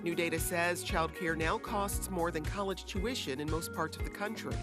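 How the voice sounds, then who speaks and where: A woman reading a scripted radio promo with a smooth, polished delivery, heard over a loud music bed. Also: on the low side, normal speed. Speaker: promo announcer.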